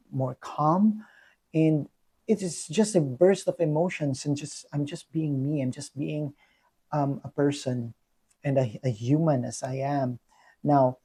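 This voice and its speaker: Brief: young Filipino-accented man, earnest self-reflective vlogger, slightly high husky voice, halting with fillers. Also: dark tone. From Philippines. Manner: many fillers and restarts, earnest. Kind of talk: personal reflection monologue.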